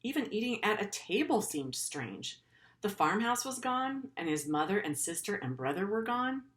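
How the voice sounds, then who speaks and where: A woman's voice: medium pitch, sing-song, normal speed, fairly bright tone. A middle-aged woman teacher reading a story aloud to pupils in an earnest way, with simple, informal explanatory asides.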